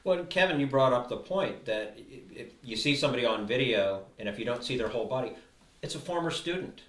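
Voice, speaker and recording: A man's voice: mid-range voice, lively intonation, brisk, fairly bright tone. A man speaking as an interviewer, posing questions in a conversational interview style. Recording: roomy echo, distant mic, faint music.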